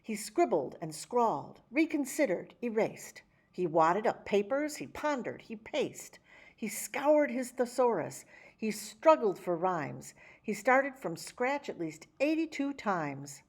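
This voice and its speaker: A woman reading a rhyming children's picture book aloud, with theatrical, measured phrasing and dramatic emphasis. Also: fairly high pitch, big pitch swings. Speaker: children's story reader. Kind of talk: expressive picture-book read-aloud in rhyme.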